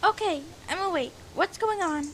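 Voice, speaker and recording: A woman's voice: very high voice, normal speed. A young female performer playing a hesitant, doubting girl camper in an amateur audio drama. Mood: sleepy, doubtful. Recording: clear background noise.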